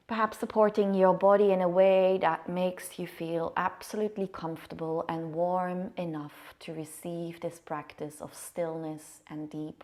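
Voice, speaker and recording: A woman yoga nidra teacher giving soft guided meditation in a hushed, soothing, unhurried manner. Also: lowish voice. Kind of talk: guided meditation, chanted singing then soft spoken guidance. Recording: music behind the voice. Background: meditative music.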